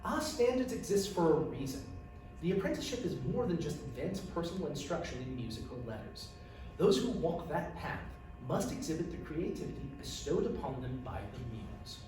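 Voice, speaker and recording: A man's voice: fairly high pitch, very animated, moderate pace, music in the background. A man voicing a composed, diplomatic woman who heads a collegium in a storybook reading, courteous and firm. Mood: measured, firm. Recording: echoey room, distant mic, faint noise.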